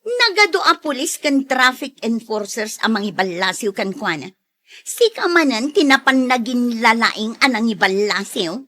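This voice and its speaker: A woman acting a sharp-tongued, easily annoyed moneylender and wife in an Ilocano audio drama, exclaiming and complaining. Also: a little high, sing-song, normal speed, full character voice. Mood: grumbling.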